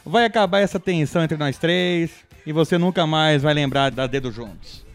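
A man's voice: fairly high pitch, moderate pace, faint music behind, natural voice. A man playing a teasing guy giving crude advice in an amateur comedy audio drama. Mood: mocking advice.

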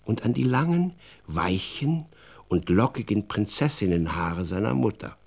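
A man playing an expressive storyteller in a children's radio drama, narrating in a deliberate, dramatic way. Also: lowish voice, gravelly, noisy background. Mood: hushed, suspenseful. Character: expressive male storyteller who also talks with the boy.

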